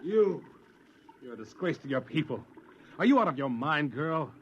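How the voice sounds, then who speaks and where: A man's voice: fairly high pitch, very animated, normal speed, a little hiss or hum. An actor playing a bullying, bigoted male colonist in a menacing, sneering 1950s radio drama style. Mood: outraged. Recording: dull lo-fi 1950s radio recording.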